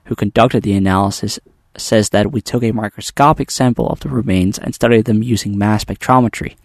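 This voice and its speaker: A man with a fairly low voice reads through a series of news stories in a steady, scripted way, pausing now and then on a filler. The sound is dry and close, with no room around it. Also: no room sound. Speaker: news presenter. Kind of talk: reading a news roundup.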